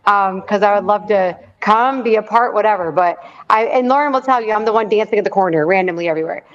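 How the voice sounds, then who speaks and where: A woman's voice: mid-range voice, fast talker. A woman who works as a nurse, chatting as a guest on a video call. She is bubbly and rambling, with many ums. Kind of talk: casual chat. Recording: dull lo-fi video call audio.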